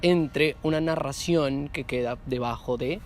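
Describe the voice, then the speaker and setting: A man's voice: on the high side, a little slow, a little hiss or hum. A man interviewing someone about literature, putting long, reflective questions and rephrasing as he goes.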